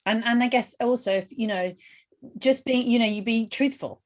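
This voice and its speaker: A woman's voice: on the high side, moderate pace. A woman hosting a live webinar and interviewing in a casual, enthusiastic manner.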